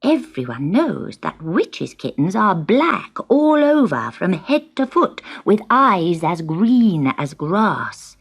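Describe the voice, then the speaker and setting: A woman reading a scripted children's story in an expressive, dramatic way with measured phrasing. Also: medium pitch, husky.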